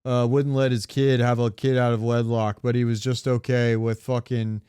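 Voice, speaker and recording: A man's voice: mid-range voice, level tone, moderate pace, dark tone, clear, resonant tone, no room sound, studio quality. A man co-hosting a podcast in casual, enthusiastic chat, with slangy, sweary talk. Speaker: podcast co-host. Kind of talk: casual chat about comics, movies and games.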